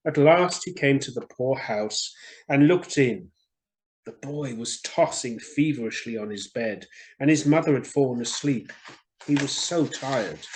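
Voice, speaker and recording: A man's voice: medium pitch, lively intonation, a little slow, poor audio. A man reading a story expressively for children, in a theatrical and friendly storyteller's manner.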